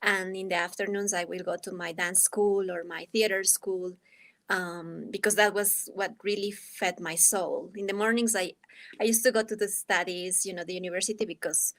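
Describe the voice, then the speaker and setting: A woman's voice: medium pitch, fairly even intonation, moderate pace, a bit bright. A woman from Ecuador with an Ecuadorian Spanish accent, answering interview questions in a reflective, hesitant way as she searches for words. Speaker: guest, dance artist and anthropologist.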